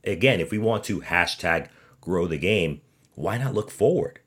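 A man's voice: low voice, expressive, normal speed, a bit bright, husky. A man hosting a podcast, giving an opinionated sports monologue in an emphatic, sarcastic way full of rhetorical questions. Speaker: podcast host.

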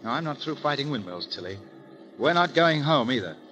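An actor playing a proper, naive young gentleman, earnest and slightly formal, in 1930s radio comedy. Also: medium pitch, very animated, fairly quick, highs cut off, music in the background, close to an everyday voice. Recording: vintage 1930s radio broadcast.

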